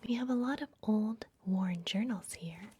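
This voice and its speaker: A woman playing a secretive, soothing vampire librarian in a fantasy ASMR roleplay, speaking in a soft, breathy whisper close to the microphone. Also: mid-range voice, a little hiss or hum, lightly acted. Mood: secretive, welcoming. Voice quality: breathy, mostly unvoiced whisper. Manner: soft, gentle, unhurried, confiding.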